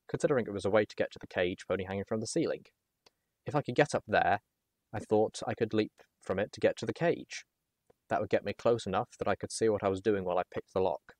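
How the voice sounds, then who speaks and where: A man reading a first-person adventure story aloud as narrator, in an expressive, dramatic manner. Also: fairly low pitch, dry recording.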